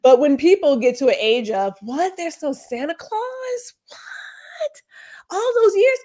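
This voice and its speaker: A woman with a somewhat high voice co-hosts a casual talk podcast. She speaks emphatically, works in rhetorical questions, and makes her points through vivid storytelling. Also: animated, slow, dark tone. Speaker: podcast co-host. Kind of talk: casual conversation.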